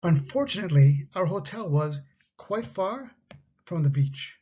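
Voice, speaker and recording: A Canadian man with a husky, breathy voice reads out a grammar lesson for learners of English, spacing each example sentence out. The recording has a slightly dull, muffled sound. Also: high-pitched, expressive, mellow. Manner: slow, carefully enunciated example sentences. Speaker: English teacher.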